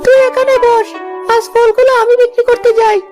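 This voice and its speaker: An earnest little brother from a cartoon village tale, voiced by a woman. The boy's voice is extremely high and fairly even, protective and caring. Loud music runs behind it. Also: brisk. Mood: reassuring, caring. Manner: earnest, childlike. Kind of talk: cartoon moral-story dialogue.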